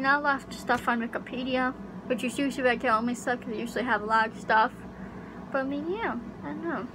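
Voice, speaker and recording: A young woman vlogging in a casual chat, rambling and unsure, with lots of fillers, recorded close on a webcam in a small bedroom. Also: on the high side. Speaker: YouTuber. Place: small pink bedroom with air conditioner. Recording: home recording with audible AC hum.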